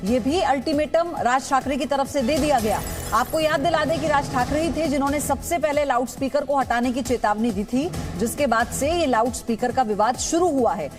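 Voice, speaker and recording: Woman, news anchor, high pitch, broadcast news delivery, clear music bed behind.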